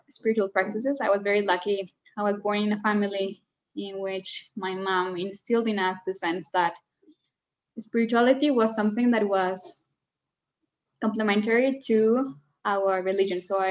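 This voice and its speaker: A woman's voice: mid-range voice, highs cut off. A woman from Colombia, speaking as a guest in a casual interview, earnest and full of fillers and restarts.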